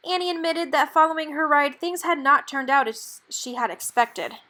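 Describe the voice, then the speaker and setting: High-voiced woman reading a storybook aloud. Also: moderate pace, pushed and bright. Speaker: storybook reader. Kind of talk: read-aloud narration of a children's picture book.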